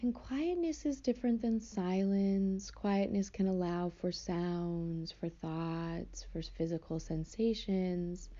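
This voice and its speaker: Woman meditation teacher, soft and unhurried, low warm voice, slightly muffled lo-fi recording. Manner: soft, slow, gentle. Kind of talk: guided meditation.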